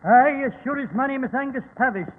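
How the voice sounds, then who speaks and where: An actor playing a superstitious, fearful Scottish carriage driver in a 1940s radio drama, telling things in an ominous, folksy way. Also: male voice, very high-pitched, quick pace. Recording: tinny, noisy old radio recording.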